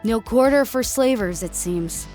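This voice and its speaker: A woman voicing a deadpan, grim male alien soldier in an audio story. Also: a little high, a little slow. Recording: music under the voice.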